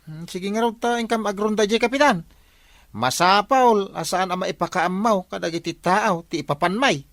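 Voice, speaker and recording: A man's voice: high voice, normal speed, a bit bright, no echo, studio quality. A man with an Ilocano Filipino accent playing a sly village patrolman in a comedy radio drama. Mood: persuading.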